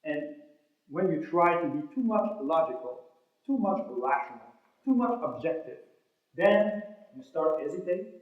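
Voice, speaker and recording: High-voiced French-Canadian man speaking in an echoey hall. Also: unhurried, narrow, tinny audio. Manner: animated storytelling, acting out dialogue. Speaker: motivational speaker. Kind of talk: motivational keynote speech to an audience. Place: large room, speaking to a live audience.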